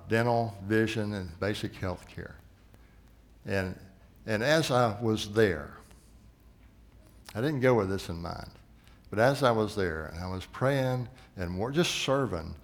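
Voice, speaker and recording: A man preaching a sermon, telling a story earnestly and often sinking into a soft, low voice. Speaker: missions leader preaching.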